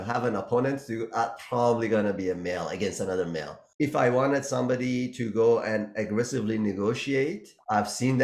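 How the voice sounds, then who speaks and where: A man's voice: lowish voice, vocal fry, echoey room. A man hosting a casual business podcast, rambling a little and laughing as he talks. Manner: rambling, laughs while talking. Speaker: podcast host, business owner.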